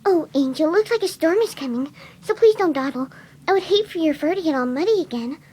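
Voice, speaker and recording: A very high, soft and sweet cartoon pony. She is timid and gentle, cooing in a motherly way like a doting caretaker in a fan-made story reading. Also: animated, brisk.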